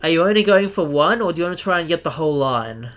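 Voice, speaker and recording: An Australian man hosting a live stream, chatting casually with viewers and often pausing to search for the right word. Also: on the high side, animated, fairly bright tone, soft background music. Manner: chatty, searches for words, self-deprecating.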